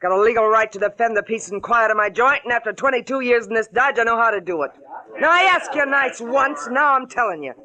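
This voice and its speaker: A woman's voice: fairly high pitch, expressive, a little fast, pushed and bright, poor audio. An actress playing a tough, bossy woman who runs a cafe, threatening people in folksy slang, in a 1940s radio drama. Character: hard-bitten blonde woman cafe owner, tough. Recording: old radio broadcast recording.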